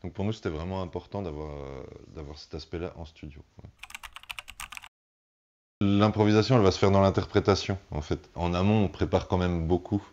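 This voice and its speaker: A man, a band musician, answers in a relaxed interview, explaining things thoughtfully in halting, searching phrases. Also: low voice, lo-fi sound, slight background noise.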